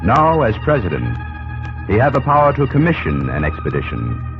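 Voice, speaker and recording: An actor as the solemn narrator of an old-time radio history drama, emphatic and portentous. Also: male voice, lowish voice, huge pitch swings, creaky, music in the background, exaggerated voice. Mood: grand. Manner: emphatic, portentous, deliberate phrasing. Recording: tinny old radio recording.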